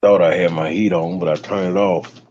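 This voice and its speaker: A man with a deep bass voice hosting a casual livestream chat, given to preachy rants. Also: fairly even intonation, moderate pace, a little mellow, muffled recording, slight background noise. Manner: ranting, preachy asides. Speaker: stream host.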